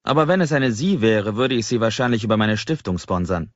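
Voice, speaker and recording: A man's voice: on the low side, expressive, fairly quick, dry recording, highs cut off, close to an everyday voice. A man narrating an audiobook and voicing a playful young male entrepreneur with a boyish, theatrical, mock-pompous manner. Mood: earnest.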